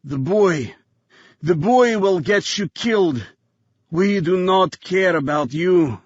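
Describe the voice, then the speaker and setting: A man voicing a dying foreign gunman in an audiobook thriller, with a heavy foreign accent and slow, halting, cryptic phrases. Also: high voice, lively intonation, husky, dry recording, muffled recording, voice pushed up, exaggerated voice. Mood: labored, ominous.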